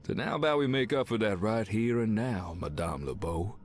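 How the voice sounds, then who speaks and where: A man's voice: lowish voice, lively intonation, moderate pace, soft background music, natural voice. A man voicing a charming, protective, romantic superhero in a video game, with a Cajun accent and a suave, tender manner. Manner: suave, tender, peppered with French endearments. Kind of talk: video game character voice acting.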